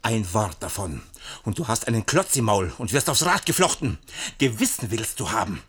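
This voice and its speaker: A man playing a menacing, domineering nobleman with a rough voice in a German radio play. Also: fairly low pitch, very animated, normal speed, fairly bright tone, heavily acted. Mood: threatening, furious. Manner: domineering, threatening. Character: menacing, bullying nobleman threatening an underling.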